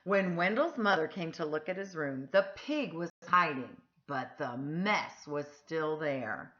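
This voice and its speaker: A woman reading a picture book aloud to children in an expressive, emphatic storytelling style. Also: deep voice, dull audio. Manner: animated, deliberate, emphatic phrasing.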